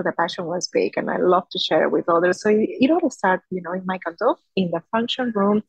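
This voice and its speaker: A woman's voice: mid-range voice, breathy edge, dry recording, poor audio. A woman in her thirties from Peru, a native Spanish speaker, telling her story conversationally as a podcast guest. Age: 30s. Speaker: podcast guest, Pilates studio founder.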